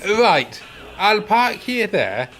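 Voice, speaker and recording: Man as amiable old male resident, unhurried, lilting pitch movement, mild, hesitant peacemaker, radio play. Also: very animated, a bit bright, heavily acted. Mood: friendly. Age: older. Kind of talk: amateur radio play acting.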